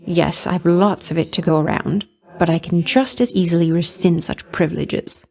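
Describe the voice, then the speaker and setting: A woman's voice: lowish voice, fairly even intonation, normal speed, gravelly, darkish tone. A woman voicing a flirtatious, vain and jealous goddess of love in a video game, speaking in coquettish, condescending endearments with a veiled threat underneath. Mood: jealous, menacing.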